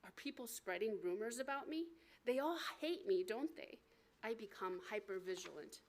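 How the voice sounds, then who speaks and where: An American woman reading aloud from her memoir as its author, in an expressive, deliberate storytelling manner at a live event. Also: mid-range voice, slight background noise. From United States. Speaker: author reading from own book.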